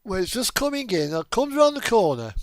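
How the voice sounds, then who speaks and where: A man's voice: sits high, sing-song, a little fast, dry recording, clean studio sound. A man chatting casually in a rambling, self-amused way as he talks through his video gaming. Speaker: video game streamer.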